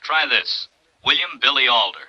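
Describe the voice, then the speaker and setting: A man's voice: medium pitch, animated, normal speed, sharp tone, no echo, highs cut off. An American man playing a worried, blunt insurance executive in a 1950s radio drama, talking fretfully and emphatically. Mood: worried, pressing.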